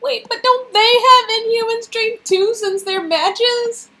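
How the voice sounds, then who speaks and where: A woman's voice: extremely high pitch, fairly even intonation, slow pace, bright, forceful tone. A woman performing game dialogue in an amateur acted reading, with some noise behind her. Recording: noisy, ambience behind.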